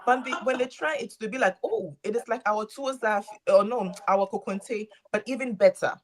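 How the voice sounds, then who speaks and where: A woman's voice: mid-range voice, a little fast. Emphatic woman from Ghana in a group chat.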